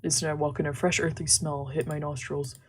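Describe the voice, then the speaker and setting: A woman's voice: low voice, flat delivery, brisk, a little hiss or hum. A woman streaming a visual novel, reading its narration aloud and adding casual commentary. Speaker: let's play streamer. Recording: music behind the voice. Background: game music.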